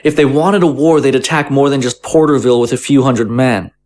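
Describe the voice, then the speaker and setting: A man's voice: mid-range voice, fairly quick, a little mellow, lightly acted. A man playing a conciliatory government official in an audio drama, arguing in a formal, articulate, persuasive manner. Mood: argumentative. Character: smooth-talking, conciliatory male government official, argumentative.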